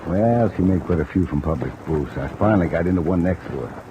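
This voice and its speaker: Jaded, low-voiced radio gumshoe. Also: male voice, brisk. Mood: matter-of-fact. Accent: American. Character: jaded, defensive male private detective. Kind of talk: old-time radio drama acting. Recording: muffled, noisy vintage radio recording. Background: steady rain.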